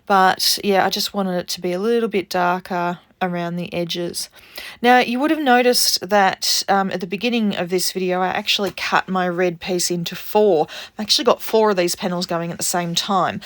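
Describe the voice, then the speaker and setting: A woman's voice: medium pitch, no echo, studio quality. A woman with a stuffed-up nose from a cold, chattily narrating a casual craft tutorial as its host. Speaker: craft video host and cardmaker.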